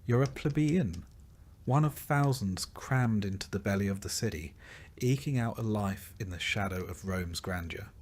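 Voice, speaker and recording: A man's voice: lowish voice, moderate pace, a little mellow, a little hiss or hum. A man narrating a scripted story in the second person with a dry, wry delivery.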